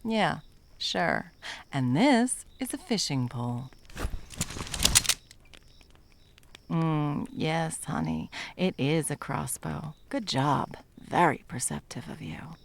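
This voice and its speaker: Woman acting low, gravelly, bitter monster hunter. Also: animated, takes it slowly, heavily acted. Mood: sarcastic, menacing. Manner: mocking, sarcastic, profane. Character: bitter, sarcastic seasoned female monster hunter, betrayed lover. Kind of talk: dramatic ASMR roleplay monologue.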